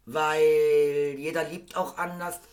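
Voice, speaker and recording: A man hosting a casual podcast, hoarse and a bit worn out, rambling through his thoughts with the odd chuckle. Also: a little high, level tone, slow, a little mellow. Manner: rambling, self-mocking, chuckling. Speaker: podcast host.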